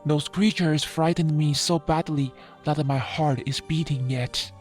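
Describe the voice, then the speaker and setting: A woman's voice: low-pitched, steady tone, unhurried, a little mellow, soft background music, voice pushed down. A woman voicing a cowardly but brave male lion in a children's storybook, talkative and anxious. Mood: relieved.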